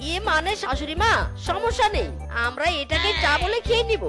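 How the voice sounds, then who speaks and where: Very high-pitched bossy cartoon fox. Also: female voice, animated, fairly quick, over loud music. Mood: bossy, coaxing. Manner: exclaiming, scolding, complaining. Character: bossy eldest fox brother, gluttonous, whiny son-in-law. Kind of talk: comic cartoon dialogue.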